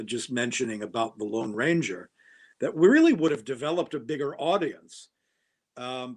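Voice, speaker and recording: Male podcast guest, mid-pitched, enthusiastic and rambling, with lots of fillers and restarts. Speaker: guest actor. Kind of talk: casual podcast chat.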